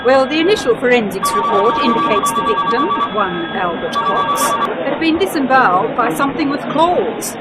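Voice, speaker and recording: A woman acting as a police sergeant, fairly high and lively in pitch. She reports with dry, clinical humor in a radio play, amid very loud office noise. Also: moderate pace. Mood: deadpan. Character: deadpan female police sergeant reporting facts.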